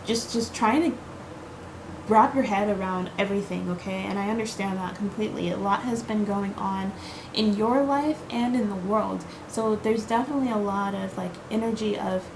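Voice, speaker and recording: A woman reads tarot cards for her viewers in a casual, reassuring ramble, leaning on fillers and "okay?" tags. Her pitch sits in an ordinary middle range. There is clear background noise behind her voice. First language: English. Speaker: tarot card reader.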